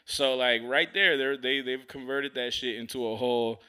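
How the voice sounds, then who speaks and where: A man hosts a casual podcast. His voice sits in an ordinary male register with a bright, punchy edge. He tells stories in an animated way, full of slang and swearing. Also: mid-range voice, normal speed, clean tone. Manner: slangy, profane, animated storyteller. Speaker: podcast host.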